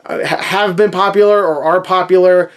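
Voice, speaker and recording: A man's voice: high voice, steady tone, normal speed. A man giving a casual, opinionated rant in a sarcastic, sweary, conversational way. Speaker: movie review vlogger.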